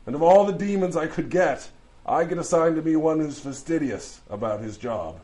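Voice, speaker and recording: A man's voice: a little high, animated, moderate pace, warm tone, close to an everyday voice. A man playing a long-suffering lawyer in hell for a comic fantasy audio drama, dry and sarcastic. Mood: sardonic, weary. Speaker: voice actor.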